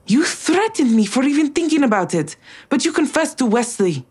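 A woman playing a wary, accusing conspirator in an audio drama, with a husky voice and a terse, probing manner. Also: sits high, big pitch swings, normal speed, a little mellow, exaggerated voice. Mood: wary, surprised. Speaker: actor in a fiction podcast. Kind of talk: naturalistic audio drama acting.

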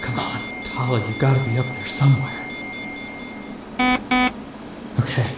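An actor playing a weary, anguished and stubborn space traveler in an audio drama, muttering and exasperated. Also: male voice, mid-range voice, level tone, fast, heavy background noise, lightly acted. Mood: tense muttering.

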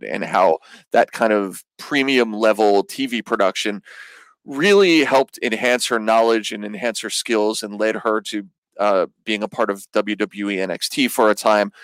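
A man's voice: fairly low pitch, no echo, poor audio. A man hosting an interview show, asking long, upbeat questions with restarts. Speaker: podcast host.

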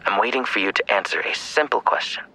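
An actor playing a menacing, taunting killer in an audio drama, with a raspy, creaky voice that slips into whispers. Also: male voice, fairly low pitch, fast talker, sharp tone, poor audio. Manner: taunting, insinuating, probing. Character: menacing, taunting male killer who runs a dark-web market.

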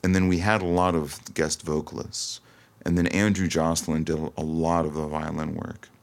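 A man's voice: extremely low pitch, level tone, normal speed, creaky. A man from Toronto, Canada, talking casually and reflectively as a musician guest in an interview, often hesitating. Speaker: singer-songwriter guest.

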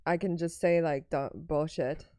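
A woman's voice: steady tone, measured pace, darkish tone, dry recording. Understated woman comedian, dry close sound. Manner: low-key, understated delivery. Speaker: podcast guest, stand-up comedian. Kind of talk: casual podcast chat.

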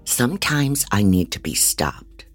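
A man's voice: lowish voice, very animated, a little slow, soft background music. A man playing a frantic, disorganized private investigator who narrates an audio drama in a rambling, wry, self-doubting way. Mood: frazzled. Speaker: narrator and lead actor. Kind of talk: first-person dramatic audio fiction narration.

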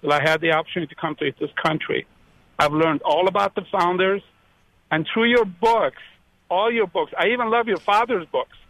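A man from Iran calling in to a radio show, speaking with excited, effusive gratitude. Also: high-pitched, moderate pace, no room sound, low-quality recording. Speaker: caller. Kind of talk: call-in radio conversation.

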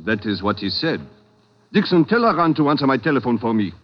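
A man's voice: mid-range voice, sing-song, fairly quick. An actor playing a suave, aloof Frenchman in a 1940s radio drama, speaking formally and precisely with dry politeness. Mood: dry, courteous. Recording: dull vintage radio recording with music.

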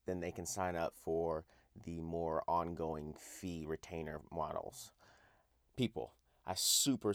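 A man hosting a finance podcast alone, talking earnestly to listeners in a raspy, slightly hoarse voice and asking them direct questions. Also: low voice, dry recording, studio quality. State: hoarse after a night out. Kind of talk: solo podcast monologue.

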